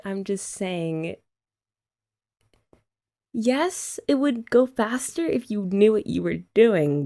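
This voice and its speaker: A woman's voice: medium pitch, vocal fry, a little mellow. A young woman chatting playfully to a partner in an ASMR roleplay, teasing and laughing often. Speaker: ASMR creator. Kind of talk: scripted ASMR roleplay as a girlfriend.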